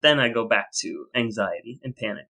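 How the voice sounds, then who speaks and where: A man's voice: medium pitch, animated, a little fast, creaky, pushed and bright. A man co-hosting a podcast, chatting casually with his partner on the show. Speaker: podcast co-host. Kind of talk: casual chat.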